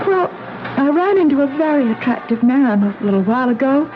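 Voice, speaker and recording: A woman's voice: high voice, animated, brisk. An actress playing an earnest, uneasy small-town woman of almost thirty in a 1970s radio drama. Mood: troubled, resigned. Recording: muffled, noisy 1970s radio broadcast recording.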